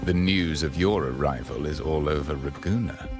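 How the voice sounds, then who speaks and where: An actor playing a fanatical, gloating cult villain in a video game, with a pompous, ominous, theatrical delivery. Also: male voice, rumbling low, moderate pace, music in the background. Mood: menacing.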